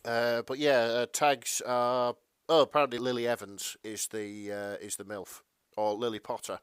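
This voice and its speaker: A man co-hosting a podcast in casual chat, halting and stammering, mildly apologetic in manner. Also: medium pitch, little pitch movement, clean tone, no room sound, studio quality. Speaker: podcast co-host.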